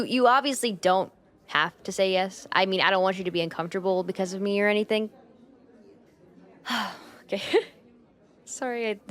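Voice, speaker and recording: A woman's voice: mid-range voice, lightly acted. Shy, flustered young woman, acted by a woman. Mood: nervous, apologetic. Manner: hesitant, self-conscious rambling with nervous giggles. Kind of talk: roleplay ASMR monologue spoken to the listener.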